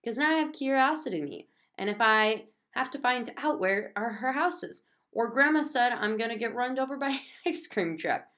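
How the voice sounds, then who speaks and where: A woman voicing an excitable, stubborn, bossy young schoolgirl in a children's storybook, with childish grammar and emphatic delivery. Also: fairly high pitch, moderate pace, hardly any pauses, voice pushed up. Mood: insistent, angry.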